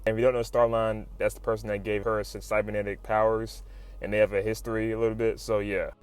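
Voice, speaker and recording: A man giving a casual, excited recap as a video host, full of slang, swearing and animated exclamations. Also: on the low side, fast talker, clean tone. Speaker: YouTube host recapping comics.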